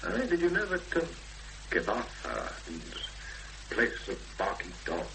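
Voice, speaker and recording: A man's voice: fairly low pitch, huge pitch swings, a little slow, heavily acted. An actor in a 1970s radio drama playing a self-assured, analytical literary man who hesitates as he thinks aloud. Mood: musing, engaged. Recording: noisy hummy 1970s radio broadcast recording.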